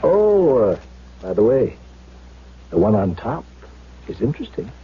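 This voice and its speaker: An actor playing a brisk, sly party official with a husky voice and persuasive, breezy manner in a 1970s radio drama. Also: male voice, mid-range voice, big pitch swings, full character voice. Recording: muffled old radio recording.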